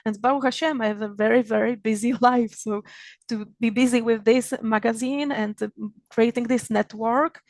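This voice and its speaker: A woman's voice: fairly high pitch, fairly even intonation, no echo. Czech-accented woman hosting a virtual event. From Czech Republic. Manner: warm-hearted, laughing often, halting with many restarts. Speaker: magazine founder hosting an online event. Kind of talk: opening remarks at a virtual event. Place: home in Israel. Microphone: video call.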